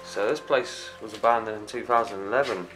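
A man exploring an abandoned house, talking casually and excitedly. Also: fairly low pitch, brisk, low-quality recording. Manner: excited, exclamatory. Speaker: urban explorer. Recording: music underneath. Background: background music.

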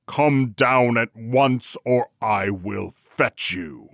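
A man's voice: deep voice, expressive, drawn-out words, gravelly, no room sound, clean studio sound, exaggerated voice. A man playing a brutal, murderous husband in a fairy tale, bawling menacing commands in a rage. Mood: enraged bellowing.

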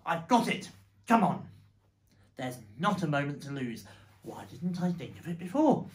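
Reader acting a determined father fox, pitched up and animated. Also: a little slow. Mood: excited, commanding. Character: brave father fox, wounded, gruff and determined. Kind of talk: animated storybook read-aloud.

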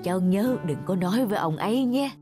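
An actress playing a gentle, devoted elderly wife in a cartoon, speaking earnestly and tenderly. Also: female voice, mid-range voice, lively intonation, fairly quick, over music, natural voice. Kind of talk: cartoon dub acting.

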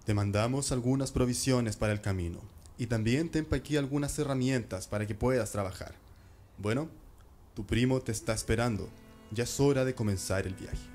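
A man plays a loving father in a radio drama. He is earnest and solemn, speaking with tender pride in an average-pitched male voice. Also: fairly quick, a little mellow, soft background music, lightly acted. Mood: proud, earnest.

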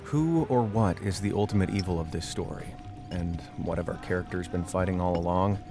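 A man narrating a short film in a calm, wistful, measured way, reading a scripted reflective voiceover. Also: low-pitched, music in the background.

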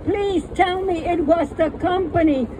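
Older woman reading her poems, high voice. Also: noisy background. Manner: friendly, plain-spoken reading, slows at line endings. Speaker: poet. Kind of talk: poetry reading with chatty asides. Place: small outdoor stage under trees at a festival.

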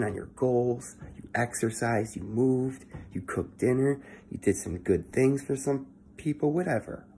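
A young man giving a casual motivational monologue into his phone at home, keeping his voice low and swearing freely. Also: dark tone, a little hiss or hum. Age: young adult. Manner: talking low and swearing often, earnest. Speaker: vlogger. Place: indoor room at home. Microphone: phone held close at arm's length.

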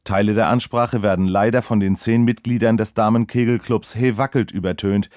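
An actor playing a dutiful, deadpan local radio reporter delivering formal, wordy parody news reportage. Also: male voice, lowish voice, fairly quick, no room sound, studio quality, close to an everyday voice. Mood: solemn, deadpan.

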